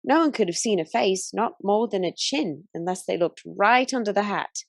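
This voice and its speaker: A woman's voice: mid-range voice, animated, moderate pace, gravelly, no room sound, studio quality. A woman playing an earnest, chatty young Edwardian waitress in a radio play, telling things in careful detail. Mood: helpful, apologetic.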